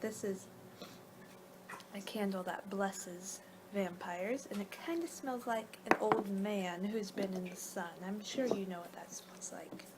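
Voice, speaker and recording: A woman speaks softly in an ASMR roleplay, often dipping into a whisper. Her pitch is middling for a woman, and she rambles playfully from one thought to the next. Clear background noise sits under the voice. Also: a little slow.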